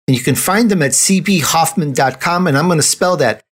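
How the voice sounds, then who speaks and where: A man's voice: fairly high pitch, brisk, a bit bright, slightly rough. A man hosting a casual interview in a playful, teasing way. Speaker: interview host.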